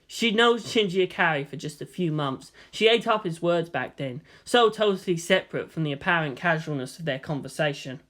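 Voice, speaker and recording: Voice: mid-range voice, expressive, fairly quick, hardly any pauses, fairly bright tone. A narrator reading fan fiction aloud as scripted storytelling.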